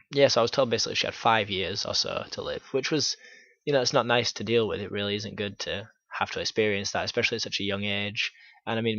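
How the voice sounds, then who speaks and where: Halting young Yorkshire-born man. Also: on the low side, fairly bright tone, no room sound, highs cut off. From Yorkshire, England. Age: young adult. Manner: halting, filler-heavy, frank. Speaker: YouTuber. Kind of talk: personal vlog storytelling.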